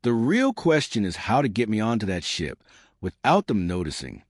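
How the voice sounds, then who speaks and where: A man's voice: lowish voice, huge pitch swings, normal speed, no room sound, clean studio sound, exaggerated voice. A man voicing a small, fierce woman space captain in an audio story, wry, teasing and confident. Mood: confident, sly.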